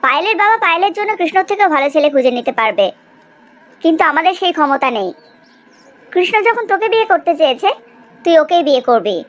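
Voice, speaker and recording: A woman's voice: extremely high pitch, big pitch swings, fast talker. A woman playing a hard, commanding middle-aged mother in a cartoon drama.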